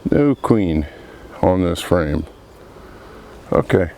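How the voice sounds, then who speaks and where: A man with a cold and a froggy, congested voice, talking slowly through a beehive inspection outdoors among buzzing bees. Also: sits low, lively intonation, gravelly, mellow, a little hiss or hum. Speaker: beekeeper. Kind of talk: casual hive inspection commentary. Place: outdoor apiary.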